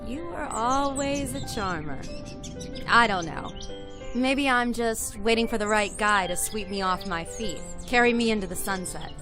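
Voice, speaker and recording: A woman's voice: on the high side, expressive, measured pace. A woman in her twenties acting a friendly, self-effacing small-town young woman in an amateur audio drama. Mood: shy, playful. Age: 20s. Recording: background music under dialogue.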